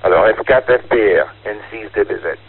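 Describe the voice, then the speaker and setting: A man chatting casually as an amateur radio operator, haltingly searching for words, over a narrow radio link. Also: on the low side, expressive, slightly rough. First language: French. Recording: tinny band-limited ham radio transmission.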